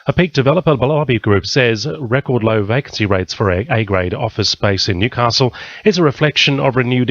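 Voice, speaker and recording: Aussie guy reading radio news, quick pace, dry close sound, a bit dull. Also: fairly low pitch, no echo. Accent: Australian. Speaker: radio newsreader. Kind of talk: scripted news bulletin.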